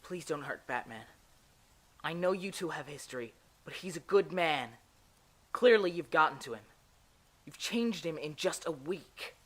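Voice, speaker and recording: A man as an earnest captive young sidekick, highish voice. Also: lively intonation, measured pace, a bit bright. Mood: pleading. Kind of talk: audio drama acting.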